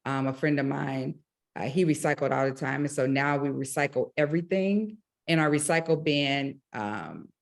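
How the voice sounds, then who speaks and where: Low-voiced woman, rambling chat. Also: moderate pace, gravelly. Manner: rambling, many fillers. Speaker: meeting participant. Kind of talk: casual discussion.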